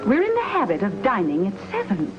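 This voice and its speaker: A woman's voice: mid-range voice, wildly swooping pitch, a little fast. An American actress playing a fretful, proper middle-aged mother in a 1950s TV western, prim and easily flustered. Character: fretful, proper mother newly settled out West. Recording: dull old TV soundtrack, music behind.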